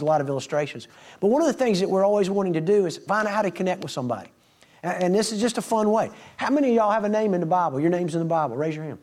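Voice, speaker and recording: Fast-talking preacher man. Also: fairly high pitch. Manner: folksy, animated storyteller acting out dialogue. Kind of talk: storytelling sermon to a congregation.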